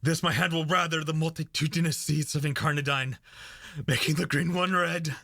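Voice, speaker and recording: A man's voice: a little high, steady tone, normal speed, pushed and bright, no room sound, clean studio sound. A man acting a guilt-racked, fearful murderous thane in a Shakespearean radio play, with a haunted, intense delivery. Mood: guilt-stricken, afraid.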